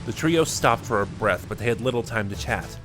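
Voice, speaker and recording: A man narrating a fan-made audio drama in a steady storytelling manner. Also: medium pitch, a little fast. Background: music.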